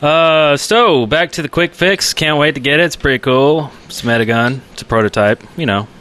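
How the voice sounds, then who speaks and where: Bright, punchy male gaming podcaster. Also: fairly high pitch, normal speed. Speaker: podcast co-host. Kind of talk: casual gaming podcast chat.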